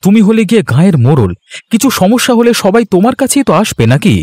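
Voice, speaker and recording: A man's voice: high-pitched, very animated, fast talker, a little mellow, no room sound. A man playing a kindly, earnest young son of a village headman in a children's cartoon. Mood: pleading. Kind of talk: Bengali children's cartoon voice acting.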